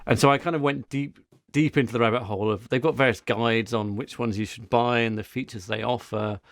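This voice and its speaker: A man's voice: medium pitch, steady tone, brisk, dry recording. A man co-hosting a podcast, telling a story in casual, rambling chat with frequent restarts. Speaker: podcast co-host.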